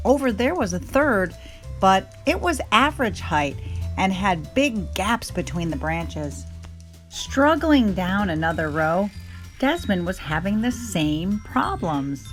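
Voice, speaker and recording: A woman reading a children's picture book aloud in an expressive, gentle storytelling manner. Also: mid-range voice.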